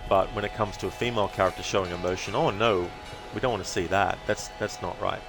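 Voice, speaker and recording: Deep voiced guy on a game stream, chatting reflectively, stop-start phrases, bit of music under him. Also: fairly even intonation, quick pace. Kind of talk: casual livestream commentary.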